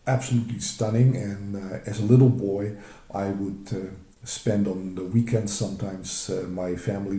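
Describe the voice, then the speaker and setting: An older man with a Dutch accent presents his travel photos on a video call, speaking haltingly with many fillers and restarts. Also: on the low side, dark tone, reverberant. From Netherlands. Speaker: retired emergency physician presenting travel photos.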